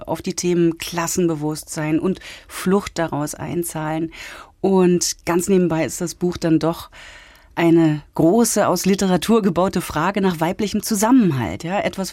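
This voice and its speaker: A woman's voice: lowish voice, mellow, dry recording. A woman giving a conversational book review on the radio, telling it expressively as a literary critic. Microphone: close studio microphone.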